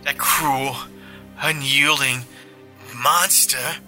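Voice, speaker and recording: A man's voice: mid-range voice, fairly even intonation, very slow, faint music behind, heavily acted. A man voicing a distraught woman who sobs as she tells a news reporter about a violent chase. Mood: sobbing. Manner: breathless, wavering, hushed. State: crying. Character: distraught sobbing woman witness, middle-aged.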